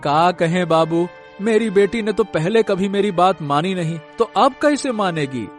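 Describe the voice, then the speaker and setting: A man's voice: high voice, expressive, unhurried, faint music behind. A man playing a timid, deferential villager in a cartoon story, hesitant and rustic in manner. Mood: nervous, pleading. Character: timid, deferential village men: henchman and anxious farmer father. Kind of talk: Hindi cartoon story acting.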